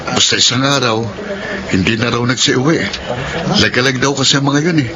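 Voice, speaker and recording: A man's voice: mid-range voice, fairly even intonation, normal speed, crowd noise, natural voice. A man with a Filipino accent playing an earnest, hard-up college student in a radio drama. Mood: wistful. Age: young adult. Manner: pleading, respectful. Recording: noisy radio drama with ambience.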